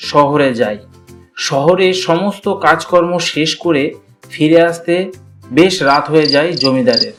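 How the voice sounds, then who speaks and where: A man's voice: on the high side, unhurried, over music. A man narrating a scripted cartoon story.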